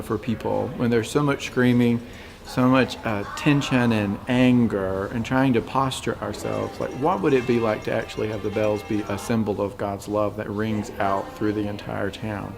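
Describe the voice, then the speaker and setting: A man who is a priest answers an interview thoughtfully and pauses to search for his words. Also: fairly low pitch, fairly even intonation, creaky, over music. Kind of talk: interview answers and scripture reading.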